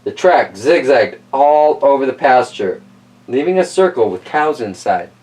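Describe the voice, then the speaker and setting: Animated man reading a children's story aloud. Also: medium pitch, measured pace, far from the mic, rough recording. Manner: playful, wry commentary on the story.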